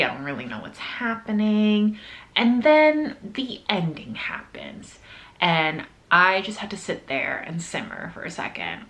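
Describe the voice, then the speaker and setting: A woman's voice: low-pitched, a bit bright. A woman chatting casually to viewers as a book vlogger, in an informal, effusive, slangy way.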